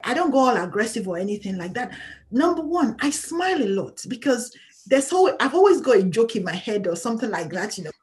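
Outspoken woman with a lively voice. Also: a little high, fairly quick. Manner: emphatic, outspoken, laughs often. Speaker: interview guest, patient advocate. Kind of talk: candid conversational interview.

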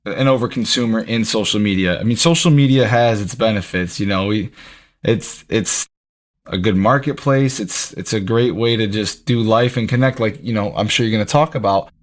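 A man's voice: on the low side, moderate pace. A man of about thirty hosting a podcast, who jokes about himself and asks long, rambling questions. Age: 30s. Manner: casual, self-joking, asks rambling questions. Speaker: podcast host. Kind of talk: conversational podcast interview.

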